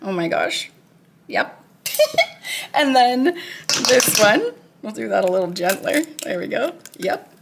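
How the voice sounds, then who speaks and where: A woman's voice: on the high side, huge pitch swings, slow, creaky, long pauses, noisy background. A woman in her thirties casually walking through a recipe in her home kitchen, chatty and upbeat with lots of "like". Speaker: weight-loss cooking vlogger.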